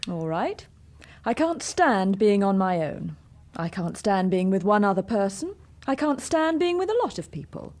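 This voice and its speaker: An actress plays a bored young Englishwoman in a radio drama. She is blunt and sulky, with a dark mellow voice that rises and dips expressively. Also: medium pitch, normal speed, lightly acted. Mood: sullen. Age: young adult. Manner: flat-worded, blunt, sulky. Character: sullen, bored, self-destructive young English daughter. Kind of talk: British radio drama acting.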